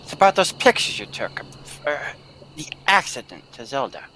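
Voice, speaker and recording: A woman's voice: big pitch swings, normal speed, slight background noise, full character voice, poor audio. A woman playing a menacing, volatile circus tiger tamer in a theatrical old-time radio drama style. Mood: sly, probing. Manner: theatrical, swooping between hushed menace and shrill gushing. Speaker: amateur voice actor in live table read.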